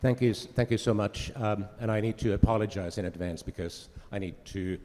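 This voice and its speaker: A man from Finland giving formal welcome remarks as a diplomat at a conference, pausing often with filler sounds and restarting phrases. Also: fairly low pitch, in a large hall, background noise. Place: conference hall.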